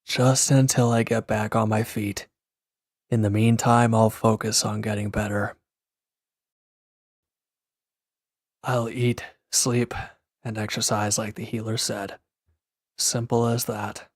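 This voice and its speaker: A man acting a gruff, guarded former villain in a roleplay audio drama, curt and grumbling, reluctant to lean on anyone. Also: on the low side, flat delivery, a little slow, no echo, clean studio sound. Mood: guarded, stubborn. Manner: curt, grumbling, defensive, with sighs and hesitant breaks. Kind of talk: scripted roleplay audio drama addressed to the listener.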